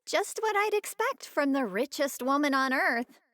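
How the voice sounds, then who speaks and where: A woman's voice: high voice, animated, measured pace, a bit bright, no echo, clean studio sound. An actress playing a sweet, chatty young woman in an English-dubbed anime game, girlish and conversational. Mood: curious, chatty.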